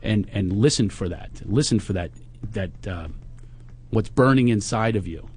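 Low-voiced Philly man hosting a podcast. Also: animated, moderate pace, darkish tone, husky. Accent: Philadelphia. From Philadelphia. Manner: reflective, motivational, spiritual encouragement. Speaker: podcast host. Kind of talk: casual podcast talk.

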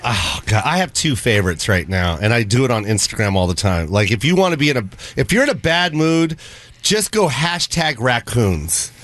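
A man in his fifties, a comedian joking on a radio show, loud and laughing, with sudden bursts and low asides. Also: normal speed. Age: 50s. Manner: animated, laughing, jumps between loud bursts and low mutters. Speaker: comedian guest. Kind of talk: casual radio banter.

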